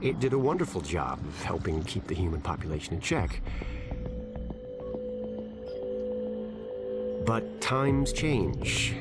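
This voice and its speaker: An actor playing a calm, fanatical scientist villain in a video game, musing in a condescending, self-satisfied monologue. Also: male voice, deep voice. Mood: sly, musing. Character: smug, calm, fanatical male scientist villain justifying mass death. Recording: loud music under the voice.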